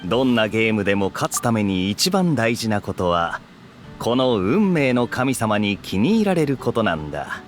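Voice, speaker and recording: A man's voice: on the low side, very animated, quick pace. A man voicing a suave, teasing young cavalry captain in an anime game, with a nonchalant manner. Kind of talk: Japanese anime game voice acting. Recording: game music behind.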